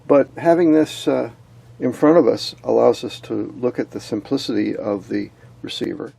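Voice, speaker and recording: A man's voice: medium pitch, normal speed, creaky, warm tone, slightly rough. An older man presenting as a radio hobbyist, giving an informal, methodical technical walkthrough in an explanatory way.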